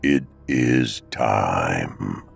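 A man voicing Death, a deep, echoey male reaper who speaks slowly and calmly with empathy. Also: creaky, husky, faint music behind, heavily acted. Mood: solemn. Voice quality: deep, echoey, slow and measured.

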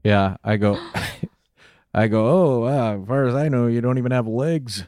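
Joking man on a smooth, dry call. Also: medium pitch, measured pace, darkish tone, no room sound. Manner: jokey, rambling, trails off with fillers. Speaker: podcast co-host. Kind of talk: casual comedic chat on a video call. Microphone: video call.

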